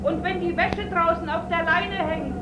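A bossy, high-voiced housewife. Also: female voice, steady tone, dull audio, heavy background noise. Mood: ordering. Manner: brisk, commanding.

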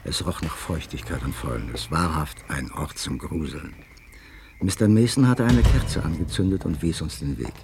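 A detective's loyal companion and chronicler in a radio drama. He has a deep voice with lively melody and a composed storyteller's delivery, with faint noise behind. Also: normal speed, vocal fry, heavily acted. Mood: composed, suspenseful narration. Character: detective's loyal, puzzled gentleman companion, narrating memoirs. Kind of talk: radio play acting and scripted narration.

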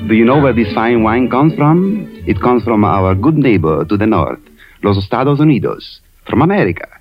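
A man's voice: fairly low pitch, sing-song, normal speed. A man playing a suave, romantic young Cuban man in a 1940s radio commercial. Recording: muffled 1940s radio recording, music behind.

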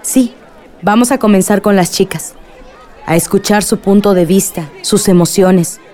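Woman acting mellow godmother leading a workshop. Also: medium pitch, unhurried, some chatter behind, lightly acted. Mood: earnest, encouraging. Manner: organizing, earnest. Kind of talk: radio drama acting.

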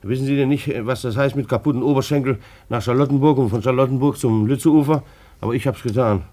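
A man's voice: medium pitch, quick pace, mellow, close to an everyday voice. A man acting in a German radio drama as an agitated, self-justifying bailiff, stammering and repeating himself as he pleads. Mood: insistent, agitated.

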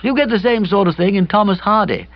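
A man voicing a dejected young gentleman in a comic audiobook, husky and plaintively explaining himself. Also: high-pitched, animated, quick pace, dry recording, highs cut off, pitched above the performer's own voice. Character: dejected young gentleman friend confessing romantic woes.

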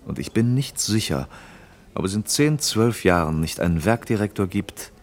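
A man acting in a German radio drama as an earnest, firm factory director, speaking in a measured, reasoning manner. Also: on the low side, lively intonation, lightly acted. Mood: firm, defensive.

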